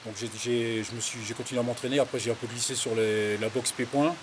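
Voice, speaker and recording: A man's voice: mid-range voice, steady tone, fast talker. A man in his fifties from the Paris suburbs, casually recounting his life in a hesitant, rambling, colloquial way. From Paris suburbs, France. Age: 50s. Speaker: interviewee, farmer. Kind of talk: casual autobiographical interview, recounting anecdotes. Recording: clear background hiss.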